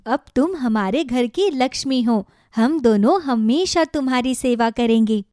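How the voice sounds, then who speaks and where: A woman's voice: a little high, animated, a little slow, darkish tone, dry recording, clean studio sound. A woman voicing a kind, devoted village wife in a cartoon story, earnest and caring in manner. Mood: soothing, concerned.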